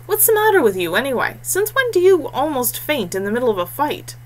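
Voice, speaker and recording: A woman's voice: sits high, brisk, pitched above the performer's own voice. A woman voicing a blunt, grim and wary young male warrior in a fantasy audiobook. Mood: urgent. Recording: clear steady background noise and hum.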